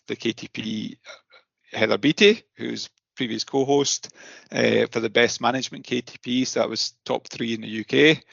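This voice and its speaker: A man hosting a podcast in a casual, halting chat style, often repeating his words. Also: mid-range voice, vocal fry, fairly bright tone, dry recording, dull audio. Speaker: podcast host.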